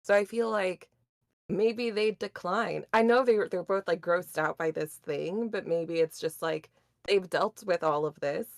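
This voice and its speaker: Woman, panel guest, lower-pitched voice, casual speculative talk, dry recording. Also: unhurried, gravelly, no echo.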